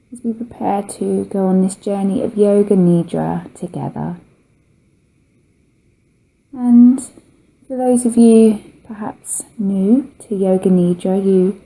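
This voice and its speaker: A woman guiding a relaxation meditation as a yoga nidra teacher, speaking in a soothing, gentle, unhurried way with long pauses. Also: medium pitch, poor audio.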